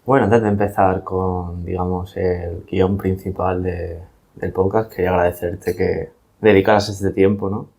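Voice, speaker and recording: Deep-voiced man hosting a podcast. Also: level tone, normal speed, creaky, a little mellow. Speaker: podcast host. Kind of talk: casual interview chat.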